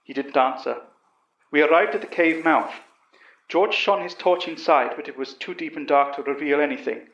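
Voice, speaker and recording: An echoey, slightly muffled recording carries a man acting a composed British professor. His highish voice is measured and literary, narrating a horror tale with calm authority. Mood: grave storytelling. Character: calm, commanding British professor recounting a monster investigation. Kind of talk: dramatic first-person horror narration. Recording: dull, roomy recording.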